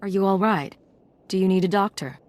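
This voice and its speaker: A woman's voice: mid-range voice, brisk, a little mellow, natural voice. A woman playing a cool, confident secret agent posing as a mercenary in a video game, composed and guarded. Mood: businesslike.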